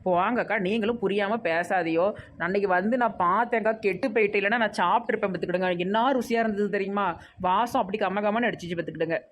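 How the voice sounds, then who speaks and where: A woman's voice: mid-range voice, quick pace, slight background noise, close to an everyday voice. A woman with a Tirunelveli Tamil accent voicing a boastful, dramatic young newly married village woman in a comedy cartoon. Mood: boastful, chatty. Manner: whiny, pleading, melodramatic.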